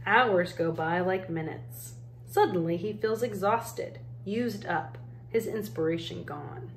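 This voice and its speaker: A woman reading a children's picture book aloud as an educator, in measured, deliberate phrases with pauses. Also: medium pitch. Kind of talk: storybook read-aloud narration. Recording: roomy echo, faint background noise.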